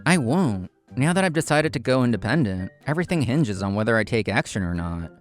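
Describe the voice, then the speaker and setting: A man's voice: mid-range voice, big pitch swings, fairly quick, soft background music, lightly acted. A man playing a proud, competitive young consultant in a manga drama, intense and curt, narrating and speaking his lines. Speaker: narrator and main character.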